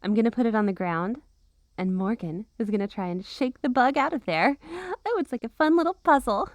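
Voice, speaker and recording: A woman's voice: a little high, animated, fairly quick, no room sound, lightly acted. A woman playing a possessive, menacing witch girlfriend in an ASMR roleplay, cooing and teasing with mock-innocent sweetness and veiled threats. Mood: playful menace.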